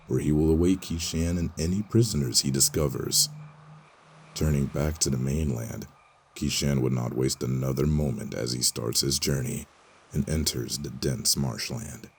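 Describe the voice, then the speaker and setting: Extremely deep-voiced male fantasy narrator. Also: normal speed, gravelly, a little hiss or hum. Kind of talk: scripted dramatic fantasy narration. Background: music under the voice.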